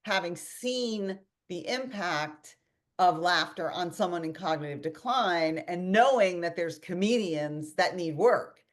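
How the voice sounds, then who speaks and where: Low-voiced woman telling an animated story. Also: measured pace. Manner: animated storytelling, many restarts and quoted asides. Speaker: host and company founder. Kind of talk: casual webinar conversation.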